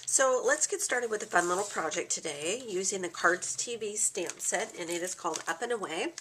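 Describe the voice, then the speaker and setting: A woman with a stuffy cold talks through a craft project, thinking aloud and dropping into soft asides. Her voice sits low but has a crisp, bright edge. A faint hiss runs under the recording. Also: low-quality recording. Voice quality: slightly nasal. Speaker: craft video host. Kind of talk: casual craft tutorial.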